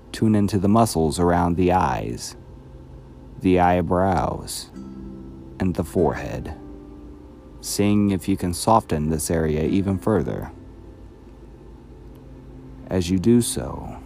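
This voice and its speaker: Deep, slow-speaking male meditation guide. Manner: calm, measured, soothing delivery.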